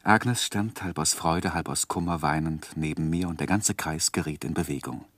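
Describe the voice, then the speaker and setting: An actor playing a composed first-person narrator in a literary radio drama, measured and articulate. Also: male voice, extremely low pitch, fairly bright tone, no echo. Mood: composed suspense. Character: composed male narrator recounting his own life story.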